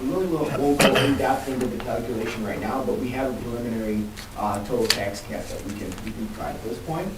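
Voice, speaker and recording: Male budget official, mid-pitched, procedural and halting meeting presenter, roomy off-mic sound. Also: a little mellow. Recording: echoey, distant mic, faint noise.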